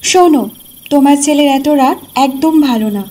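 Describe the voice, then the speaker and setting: A woman's voice: high-pitched, expressive, fairly quick, a little mellow. A woman playing the careworn, fretful wife of a poor labourer in a cartoon story. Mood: fretful disapproval. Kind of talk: Bengali cartoon story voice acting.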